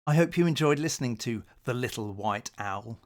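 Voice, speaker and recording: A man narrating a children's bedtime story in a gentle, measured manner. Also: mid-range voice, animated.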